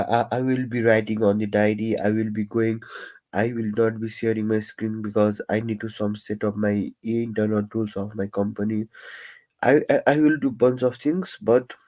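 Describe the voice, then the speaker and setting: A young man who stammers, talking in a casual, reflective live-stream monologue with many pauses, fillers and restarts. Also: lowish voice, a little mellow.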